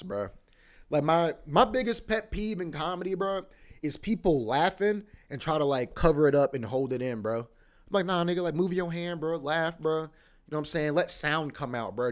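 A young man hosting a solo podcast, rambling in a laid-back slangy way and saying "bro" and "you know what I mean" all the time. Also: fairly high pitch. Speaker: podcast host; comedy show host.

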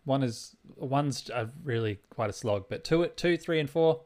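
A man's voice: medium pitch, expressive, a little slow, darkish tone. A man talking casually as co-host of a podcast on movies and video games. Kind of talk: casual movie and game chat.

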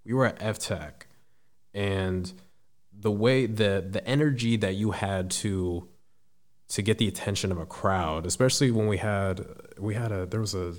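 A man in his twenties with a deep voice, a podcast guest speaking thoughtfully with frequent restarts. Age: 20s. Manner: thoughtful, halting, restarts sentences. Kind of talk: casual interview.

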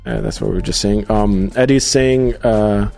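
A man hosting a live podcast, reading out viewer comments in a casual, halting way with many fillers. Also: lowish voice, darkish tone, faint music behind. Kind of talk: casual livestream chat.

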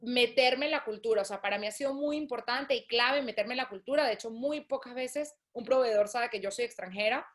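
A woman from Venezuela speaks with a high voice in a casual interview. She tells lively stories and acts out what other people say. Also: brisk, few pauses. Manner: lively, acts out quoted people, laughs often. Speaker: guest, shoe designer.

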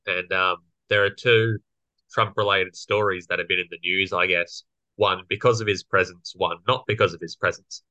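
A young man with a slightly croaky voice, co-hosting a casual political podcast and explaining legal points. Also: sits low, fairly even intonation, moderate pace, sharp tone, no room sound, rough recording. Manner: explanatory, lawyerly. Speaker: podcast co-host.

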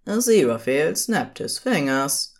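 A woman's voice: deep voice, very animated, unhurried. A woman narrating scripted fiction as the storyteller of an audio drama.

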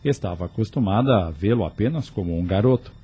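A man's voice: fairly low pitch, animated, quick pace, warm tone, husky. A man announcing and narrating a scripted radio drama.

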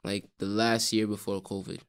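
Low-voiced young Brooklyn man. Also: little pitch movement, vocal fry, smooth. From Brooklyn, New York. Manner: halting, full of "like" fillers. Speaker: guest, DJ and rapper. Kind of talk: casual interview answers.

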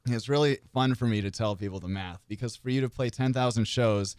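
A man's voice: medium pitch, a little fast, darkish tone, clean tone, no echo, clean studio sound. A young man who sings in a local band, chatting easily in an interview with lots of filler words and light jokes. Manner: easygoing, joking, with frequent fillers and laughs. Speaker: guest, singer in a cover band. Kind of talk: casual interview chat.

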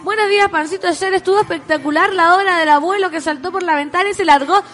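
A woman's voice: very high voice, fast. A Chilean woman co-hosting a casual morning radio show, chatty and reading out listener messages. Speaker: radio co-host. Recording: music playing in the background.

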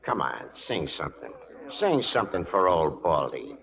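A soothing old saloon bartender, folksy and expressive, old time radio western. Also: male voice, rumbling low, moderate pace, vocal fry, heavily acted. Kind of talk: 1940s radio drama acting.

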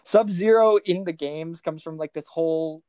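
A woman's voice: sits low, moderate pace, mellow, smooth, dry recording. A woman co-hosting a casual film discussion podcast, talking in an exasperated, sarcastic way. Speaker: podcast co-host reviewing films.

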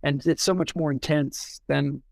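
A man in a casual podcast discussion as an editor, talking in a halting, rambling way and repeating words as he forms thoughts. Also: on the high side, fairly even intonation, creaky, darkish tone, no echo. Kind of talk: casual discussion with a short read-out.

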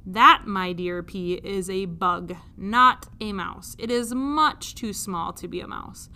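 A woman's voice: medium pitch, takes it slowly, bright, forceful tone, clean tone, heavily acted. A woman voicing a stubborn, pompous father king in a storybook, speaking emphatically. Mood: stubborn, dismissive. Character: stubborn, pompous father king who likes being right.

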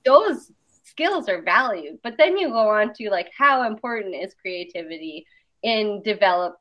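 A woman's voice: mid-range voice, unhurried. Chatty woman, dull lo-fi recording. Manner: chatty, animated storyteller. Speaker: artist and interviewer. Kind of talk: casual conversation about creative work. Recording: dull lo-fi sound.

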